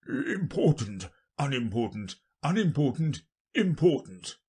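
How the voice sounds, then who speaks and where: A narrator voicing a pompous, muddle-headed old king, loud and emphatic, in a storybook reading. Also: medium pitch, wildly swooping pitch, measured pace, slightly rough, voice pushed up, exaggerated voice. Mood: muddled, self-important. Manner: loud, emphatic, self-important.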